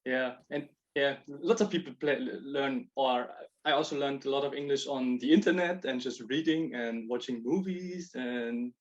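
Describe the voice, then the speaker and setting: A man pitching his project over a video call, mid-pitched and stopping often to restart a sentence. He drops short laughs between his points. The call audio is dull and lo-fi. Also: moderate pace. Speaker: project proposer.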